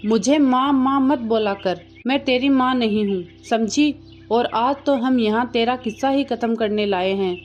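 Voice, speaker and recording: A domineering cartoon bird stepmother, acted by a woman. Her voice is fairly high and curt, with faint music behind it. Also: moderate pace, lo-fi sound, natural voice. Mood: cold, menacing. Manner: bossy, curt. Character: domineering, unkind bird stepmother, adult female. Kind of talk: Hindi cartoon story dialogue.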